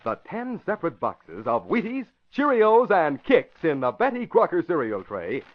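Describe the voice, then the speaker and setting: A man announcing in 1940s radio style, with emphatic, polished scripted delivery. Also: high voice. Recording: muffled vintage radio broadcast.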